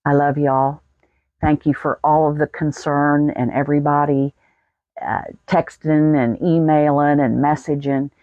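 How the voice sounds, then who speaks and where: A woman's voice: deep voice, steady tone, a little slow, no echo, narrow, tinny audio. An American woman in her sixties telling a personal story in a folksy, plain-spoken way. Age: 60s. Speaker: vlogger.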